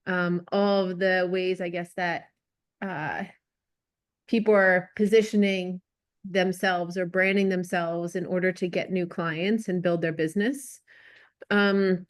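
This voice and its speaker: A woman's voice: on the low side, steady tone, a little slow. A woman taking part in a coaching demonstration on a video call, speaking hesitantly with many fillers. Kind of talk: casual explanation.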